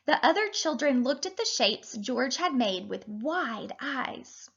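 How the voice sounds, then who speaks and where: A woman in her thirties, a teacher reading and talking to children in an animated, deliberate way. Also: high voice, vocal fry, husky, muffled recording. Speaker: teacher reading a picture book aloud to children. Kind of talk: expressive children's read-aloud and lesson.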